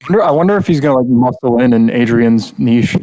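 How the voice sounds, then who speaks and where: A man chatting casually on a podcast, wry and halting, with some swearing. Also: mid-range voice, animated, dark tone, rough recording. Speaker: podcast co-host. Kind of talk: casual chat.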